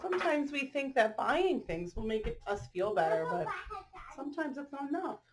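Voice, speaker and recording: A woman's voice: high voice, sing-song, normal speed, crowd noise. A woman reading a children's picture book aloud expressively, adding chatty asides and drawing listeners in with questions and exclamations.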